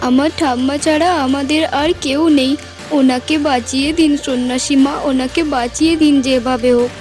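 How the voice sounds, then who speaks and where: A woman's voice: high-pitched, fairly even intonation, fairly quick, over music, natural voice. A woman voicing a brave, protective young elder sister in a fairy-tale cartoon, speaking in an earnest, imploring manner. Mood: pleading.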